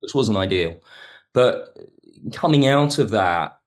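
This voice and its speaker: A man's voice: lowish voice, animated, slow. A man speaking as an interview guest, reflective and analytical, carefully qualifying his points as he explains. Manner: thoughtful, analytical, hedging. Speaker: podcast guest, audio drama writer.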